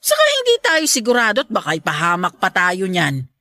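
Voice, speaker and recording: A woman's voice: on the low side, wildly swooping pitch, fairly quick, sharp tone, dry recording, heavily acted. Protective Filipino mother, close and dry. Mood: firm, wary. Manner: motherly, chatty, firm. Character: aging, protective, stubborn but loving mother. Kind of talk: Filipino radio drama acting.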